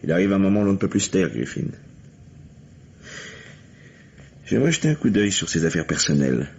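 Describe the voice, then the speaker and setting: Police detective: methodical, insinuating, deep voice, dull vintage radio-play sound. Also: male voice, big pitch swings, rapid-fire, vocal fry, a little mellow, heavily acted. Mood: probing, insistent. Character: methodical, probing police detective questioning a widower. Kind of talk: French radio mystery drama acting. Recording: muffled vintage radio drama recording.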